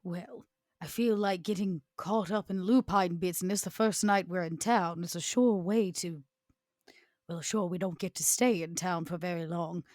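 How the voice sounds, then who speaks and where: A woman improvising in-character dialogue in a tabletop game, with formal, arch, measured wording. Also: lowish voice, a little mellow, no room sound, studio quality. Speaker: player in a tabletop role-playing game.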